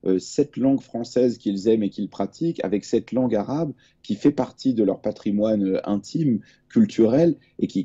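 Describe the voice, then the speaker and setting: A man in his forties, born in Lebanon, speaking as an author and guest on a panel over a video call in a calm, reflective way. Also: on the low side, husky, lo-fi sound. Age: 40s.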